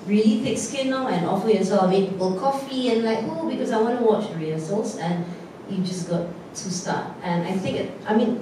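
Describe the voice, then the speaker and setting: A woman actor speaking on a post-show panel, giving earnest, frank advice. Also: medium pitch. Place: theatre stage. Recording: echoey room, distant mic, noisy.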